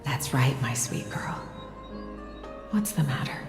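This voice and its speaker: A woman playing a gentle, loving mother in a dramatic game cutscene, speaking tenderly in a slow, hushed, breathy voice. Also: very deep voice, animated, full character voice. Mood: tender, soothing. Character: gentle, loving mother and holy maiden soothing her child. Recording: echoey long reverb under clear music.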